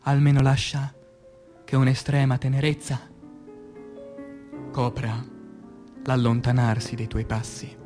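A man, an actor, reciting poetry in a declamatory, impassioned theatrical style that swings between hushed and loud. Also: medium pitch, monotone, unhurried, long pauses. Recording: music under the voice. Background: music.

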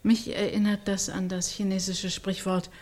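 A woman's voice: mid-range voice, little pitch movement, moderate pace, a little mellow, close to an everyday voice. A woman playing a righteous intellectual art critic in a radio play, articulate, insistent and rhetorical. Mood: cool, superior. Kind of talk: radio play panel discussion.

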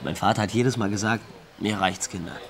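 An actor playing an awkward, loyal young small-time thief in a radio crime drama, defensive and plain-spoken. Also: male voice, fairly low pitch, fairly quick, crowd noise, lightly acted. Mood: wry. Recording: music behind the voice.

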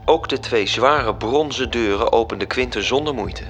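An actor narrating a radio drama as a storytelling angel reporting events. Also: male voice, medium pitch, moderate pace, natural voice. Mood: measured, suspenseful. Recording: music under the voice.

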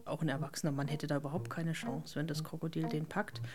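A man's voice: a little high, level tone, fast talker, over loud music, lightly acted. A man as a matter-of-fact animal expert giving casual commentary in a radio drama.

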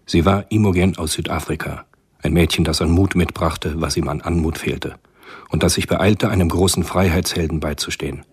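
A man narrating a spy thriller radio drama in a measured, literary storytelling manner. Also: low-pitched, steady tone, breathy edge, exaggerated voice. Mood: grave, measured.

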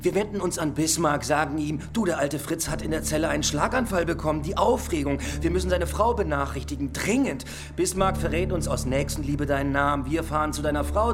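An actor playing a menacing, mocking police interrogator in a German radio drama. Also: male voice, a little high, a little fast, few pauses, over music, close to an everyday voice. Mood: mocking threat. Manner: mocking, smug. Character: sly, menacing male police interrogator, coolly blackmailing.

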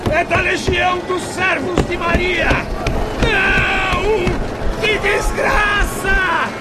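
Casting: menacing radio-play bandit spirit, screeching very high, drawn-out shouts, noisy mob around. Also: male voice, flat delivery, a loud crowd, heavily acted. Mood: alarmed, frantic. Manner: shouting, threatening. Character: menacing shrieking bandit spirit of the lower realms. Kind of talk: radio drama acting.

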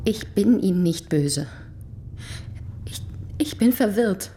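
An actress playing a proud young princess in a radio drama, curt and easily wounded. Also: female voice, medium pitch, expressive, slow, warm tone, slight background noise. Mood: hurt, confused.

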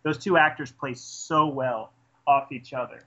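A man's voice: medium pitch, fairly even intonation, a little slow, sharp tone. A man casually reviewing a film in conversation, enthusiastic and rambling, often restarting his sentences. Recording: dull lo-fi podcast audio.

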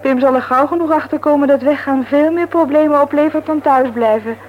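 A woman's voice: high voice, a little fast, phone-quality sound, lightly acted. An actress playing a breezy, self-assured, teasing young woman in a vintage radio serial. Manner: chatty, teasing, expressive. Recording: noisy old radio drama recording.

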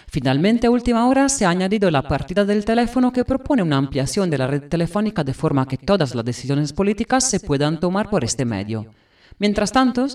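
An actress plays a formal female news presenter in an audio drama. She reads the bulletin in a mid-pitched voice, a little away from the microphone. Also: darkish tone, recorded from a distance, close to an everyday voice. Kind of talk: scripted news reading.

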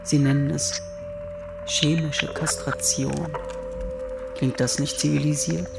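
An actor playing a wounded, reflective mathematician in a German radio drama, speaking with deliberate phrasing and candid irony. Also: male voice, fairly high pitch, little pitch movement, creaky. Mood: bitter irony. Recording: music under the voice.

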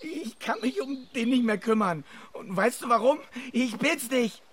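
An actor playing a desperate, self-pitying middle-aged husband and failed writer in a German radio play. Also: male voice, very high-pitched, animated, a little slow, husky, heavily acted. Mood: agitated, defensive. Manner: agitated, self-pitying, emphatic.

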